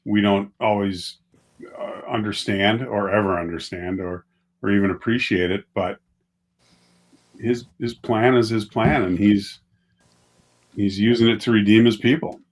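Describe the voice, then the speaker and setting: A man with a slightly low voice speaks as a guest in an informal talk about faith. He puts things plainly, in short declarative statements. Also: fairly even intonation, unhurried, long pauses. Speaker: podcast guest. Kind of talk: casual theological discussion.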